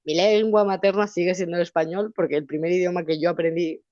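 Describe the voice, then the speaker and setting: A woman's voice: on the low side, quick pace, no room sound, muffled recording. A young woman from Spain with a Valencian Spanish accent, chatting animatedly as an interview guest. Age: young adult. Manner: animated, chatty, restarts often. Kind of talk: casual interview conversation.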